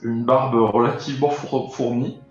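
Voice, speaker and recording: A man in his thirties playing a tabletop game, slowly and hesitantly describing his character. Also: medium pitch, steady tone, a little mellow. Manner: slow and hesitant, many fillers. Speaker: player. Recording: very echoey, dull lo-fi sound with faint music.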